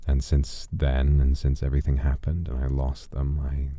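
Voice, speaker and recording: A man's voice: very deep voice, monotone, moderate pace, dark tone, no echo. A man livestreaming as an old demon persona, telling stories in a musing, theatrical way on a close microphone. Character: centuries-old wandering voice demon streamer persona. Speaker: livestream host. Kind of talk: in-character storytelling and casual livestream chat. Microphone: close studio microphone, dry.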